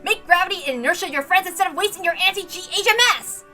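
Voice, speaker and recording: A woman's voice: very high-pitched, quick pace, pushed and bright, soft background music, pitched above the performer's own voice. A woman voicing a short, hot-headed young male fighter who boasts and scolds emphatically in an audiobook-style drama reading. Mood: scolding, lecturing.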